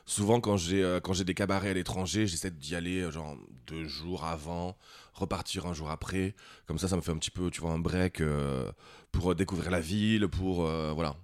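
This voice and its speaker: A man in his forties, a guest drag performer, telling travel stories in a playful, animated way in a casual chat. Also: low-pitched, moderate pace, no echo, clean studio sound. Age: 40s. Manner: animated storyteller, playful, putting on voices when quoting himself.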